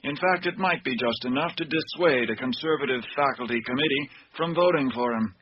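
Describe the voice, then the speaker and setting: An actor playing a composed, analytical investigator in a radio mystery, speaking formally and deliberately on an old cassette recording. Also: male voice, medium pitch, close to an everyday voice. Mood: calm, assured. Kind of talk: radio drama acting. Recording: dull, noisy old cassette tape.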